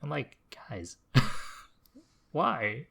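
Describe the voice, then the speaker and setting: A man's voice: mid-range voice, big pitch swings, drawn-out words, vocal fry, bright, forceful tone, slightly rough. A man co-hosting a podcast, talking casually and informally with a teasing manner. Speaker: podcast co-host. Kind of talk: casual chat about video games.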